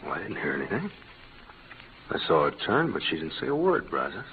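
A man acting a steady, laconic cowboy hero in a 1940s radio drama. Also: deep voice, brisk, exaggerated voice. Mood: quiet. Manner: laconic, matter-of-fact. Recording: old noisy radio recording, phone-like band.

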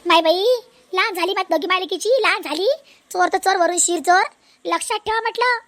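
A woman's voice: extremely high pitch, fairly quick, fairly bright tone. A woman playing an aggrieved, outspoken young village daughter-in-law in a comedy cartoon, complaining in a Vidarbha Marathi accent. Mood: distressed, indignant. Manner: complaining, repetitive.

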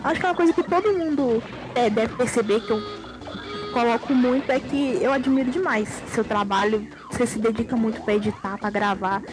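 A young woman talks with viewers in a chatty, playful way during a gameplay video. Her voice sits high, and she speaks to the audience directly. Video game music is clearly heard behind her. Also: brisk, rough recording. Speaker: guest co-player. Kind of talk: casual chat over gameplay.

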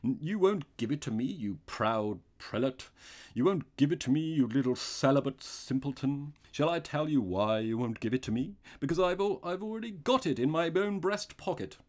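A reader voicing a tall, boastful, menacing master thief in a storybook, loud, pompous and taunting. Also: mid-range voice, expressive, normal speed, voice pushed up, lightly acted. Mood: triumphant, mocking.

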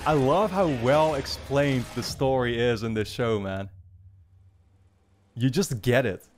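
A man's voice: medium pitch, takes it slowly, faint music behind. A man in his twenties giving casual reaction commentary in informal, slangy speech, thinking aloud, close on a studio mic. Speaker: anime reaction YouTuber. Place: small furnished room, indoors. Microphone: studio mic on a boom arm, close.

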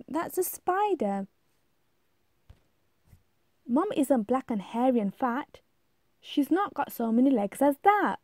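A woman's voice: sits high, dark tone, no room sound, studio quality, full character voice. A woman voicing a fretful, insistent young monkey in a rhyming storybook, plaintive and emphatic. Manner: plaintive, emphatic, drawn-out protests. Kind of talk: rhyming storybook read-aloud.

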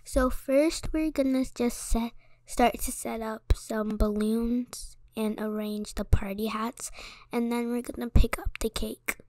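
Female casual storyteller for a toy-game roleplay, higher register, unhurried, simple and a little hesitant. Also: steady tone, darkish tone, no echo. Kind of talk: casual acted play narration.

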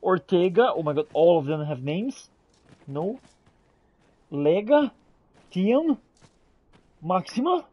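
A man giving expressive, exclamatory in-character gameplay commentary, thinking aloud as he roleplays. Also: high voice, slow pace, long pauses, breathy edge, no echo, muffled recording. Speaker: gamer roleplaying as a character in a playthrough.

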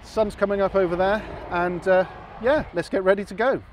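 A man's voice: sits high, moderate pace. A man around his forties in casual explanatory chat to camera, as an amateur radio vlogger. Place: outdoors on an open hilltop beside a road. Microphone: camera mic at about arm's length or more. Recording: noisy outdoor recording with passing traffic.

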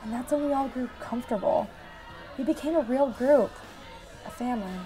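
An actress playing a wistful disaster survivor in a radio drama, recalling the past in a measured, reflective way. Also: female voice, high-pitched, dark tone, soft background music, a faint crowd, natural voice, poor audio. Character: female asteroid-disaster survivor recalling shelter life, wistful. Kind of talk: radio drama acting as interview testimony.

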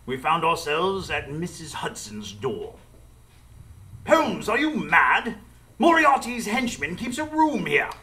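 An actor playing an earnest, formal gentleman sidekick, narrating theatrically in a radio play. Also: on the high side, sing-song, slow pace, exaggerated voice. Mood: alarmed.

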